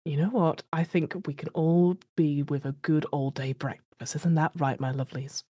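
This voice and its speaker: A woman's voice: low-pitched, fairly even intonation, normal speed, mellow, breathy edge, dry recording, studio quality, pitched below the performer's own voice. A woman voicing an eccentric, chatty travelling book peddler in an improvised roleplay, fussy, endearing and theatrical. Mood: intrigued.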